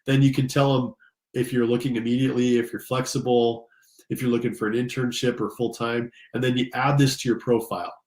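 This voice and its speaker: A man's voice: mid-range voice, level tone, brisk, darkish tone. An American man, slightly stuffed up, giving an informal, enthusiastic tutorial and speaking directly to his listeners. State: slightly congested from allergies. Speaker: career coach and instructor. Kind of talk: informal screen-share tutorial. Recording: roomy, echoing sound.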